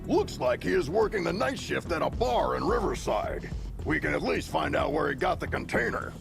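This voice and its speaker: An actor playing a gruff, serious law officer with a gravelly voice in a video game cutscene. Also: male voice, fairly high pitch, very animated, moderate pace, heavily acted. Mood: serious, analytical. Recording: game music behind.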